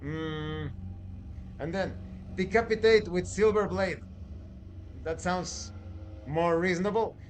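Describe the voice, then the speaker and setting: A man giving an informal spoken review as a show host, explaining in a halting way with conversational asides. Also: high voice. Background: background music.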